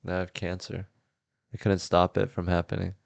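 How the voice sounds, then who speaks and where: A man hosting a podcast, improvising comedy scenes in a deadpan way and laughing often. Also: deep voice, fairly quick, creaky, dry recording. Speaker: podcast host. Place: house.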